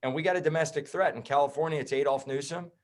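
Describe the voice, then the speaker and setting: A man's voice: a little high, fairly even intonation, fast talker. A man in his thirties, a chiropractor guesting on a podcast, talking bluntly and heatedly in casual chat. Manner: blunt, heated, swears and says bro.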